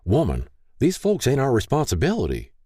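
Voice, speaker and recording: A man voicing a gruff, folksy older small-town husband who grumbles, in an audiobook. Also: low voice, sing-song, a little fast, mellow, no room sound, clean studio sound, voice pushed up. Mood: grumbling.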